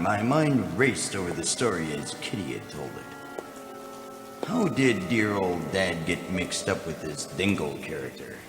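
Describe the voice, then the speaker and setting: Low, wry hard-boiled detective. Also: male voice, exaggerated voice. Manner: deadpan, wisecracking noir narration. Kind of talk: 1940s radio detective parody acting. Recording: roomy sound, music underneath.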